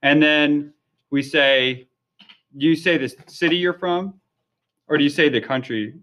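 A man's voice: a little high, measured pace, bright, forceful tone. A man interviewing language learners in a casual, curious and friendly way, with lots of fillers. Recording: dull lo-fi recording.

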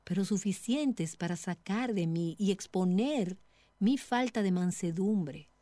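Mellow middle-aged woman teaching devotionally. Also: medium pitch, takes it slowly, no room sound.

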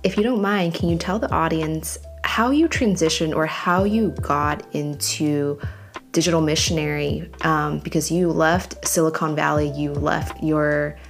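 Woman host, real low voice, asks a bunch of questions at once, music playing under. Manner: inviting, stacks several questions at once. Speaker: interview host. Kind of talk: friendly interview questions.

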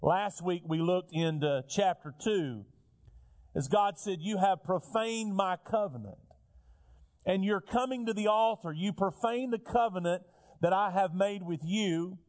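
A male pastor preaching a sermon while fighting a cold, his voice a little raspy, building earnest lists of repeated phrases. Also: sits high, unhurried, darkish tone. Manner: earnest preaching, repetitive lists, rising emphasis. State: has a cold, slightly raspy.

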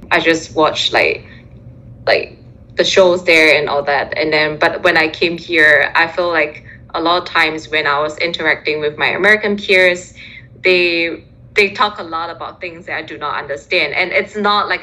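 A woman's voice: on the low side, sharp tone. A young woman with a Singaporean English accent, speaking as an interview guest in casual talk, hesitant, with many fillers and restarts. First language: Mandarin Chinese. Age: young adult. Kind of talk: casual conversation. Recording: muffled lo-fi sound.

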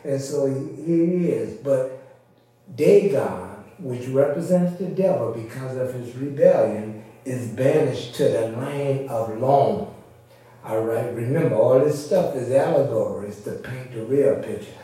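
A man's voice: mid-range voice, expressive, a little slow, dark tone. A man preaching in a folksy, conversational way, repeating points for emphasis in a sermon style. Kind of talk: sermon teaching with repetition and calls for amen. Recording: very echoey room, distant mic.

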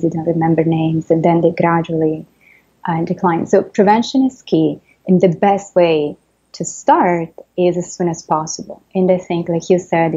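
A woman with an Italian accent explains her field as an interview guest, measured and patient. Her voice sits low, mellow and a little breathy. The recording is dull and lo-fi. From Italy. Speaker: neuroscientist guest. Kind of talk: interview answers.

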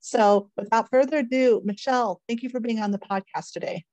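A woman's voice: on the high side, a little fast, mellow, no echo, lo-fi sound. A woman hosting a podcast, chatting informally and emphatically as she speaks straight to her listeners. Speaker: podcast host and caregiver coach. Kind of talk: podcast intro and interview chat.

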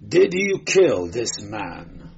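A man voicing a keen, cool chief investigator in an audiobook, questioning with brisk authority. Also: mid-range voice, very animated, gravelly, a little mellow, exaggerated voice. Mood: stern. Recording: dull, noisy amateur recording.

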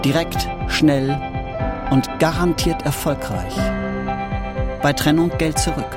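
An actor playing a self-assured couples therapist delivering a punchy, salesy advertising pitch in an audio drama. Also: male voice, slow pace. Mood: confident. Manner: slick, punchy slogans. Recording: loud music behind the voice.

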